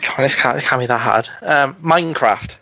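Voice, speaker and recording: A man's voice: mid-range voice, fairly quick, creaky, bright, forceful tone, no room sound. A man on a casual podcast playing a quiz, swearing and muttering to himself as he thinks. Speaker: podcast co-host, quiz contestant. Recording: dry, dull lo-fi podcast audio.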